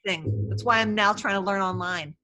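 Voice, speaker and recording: A woman's voice: medium pitch, brisk, bright, forceful tone, faint music behind. A woman in her thirties hosting a casual live-stream chat, talking loosely with many fillers and laughs. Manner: chatty, laughing, lots of fillers. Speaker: host.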